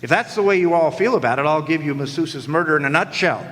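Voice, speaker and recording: Guy acting wisecracking noir detective for radio theatre, theatrical, slightly high voice, echoey hall. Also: animated, fast talker, fairly bright tone. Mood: sardonic. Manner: theatrical, sardonic, slangy delivery. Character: wisecracking, cocky hard-boiled male private detective. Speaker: narrator and lead actor in radio play. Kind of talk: hard-boiled detective radio play acting. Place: live radio play in a hall. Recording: live stage, long hall reverb.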